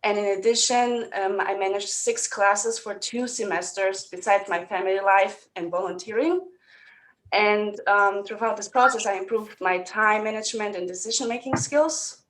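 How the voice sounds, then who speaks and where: This woman grew up in Germany and speaks at a middle pitch. She sounds upbeat and businesslike as she introduces herself as a candidate over a video call. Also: fairly even intonation, moderate pace, fairly bright tone, poor audio. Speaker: student candidate. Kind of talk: prepared self-introduction speech.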